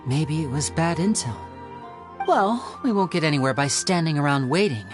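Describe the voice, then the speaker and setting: A woman's voice: low voice, lively intonation, moderate pace, faint music behind. A woman playing a polite, purposeful young investigator in a video game, courteous and composed. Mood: puzzled, decisive.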